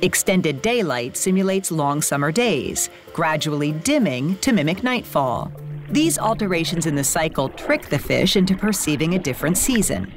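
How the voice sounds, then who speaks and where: A woman reading scripted documentary narration in a lively, playful way. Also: low-pitched, moderate pace. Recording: voice-over with music bed.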